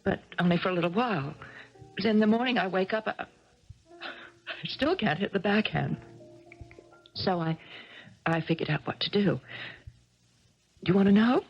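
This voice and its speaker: A bitter, dramatic young tennis star. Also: female voice, medium pitch, expressive, moderate pace, long pauses, breathy edge. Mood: weary, despairing. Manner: weary, sarcastic, dramatic. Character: bitter, despairing 27-year-old female tennis star. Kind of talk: 1970s radio drama acting. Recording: muffled old radio broadcast, music behind.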